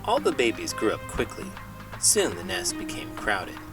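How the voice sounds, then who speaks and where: A man reading a children's storybook aloud in a dramatic, expressive, animated way, like a teacher. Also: fairly low pitch, moderate pace, recorded from a distance. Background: music.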